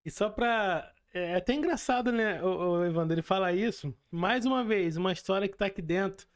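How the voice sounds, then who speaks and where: A man's voice: high-pitched, fairly quick. A man with a Brazilian accent hosting a podcast, telling anecdotes in a casual, conversational way. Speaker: podcast host. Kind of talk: casual chat, anecdotal storytelling.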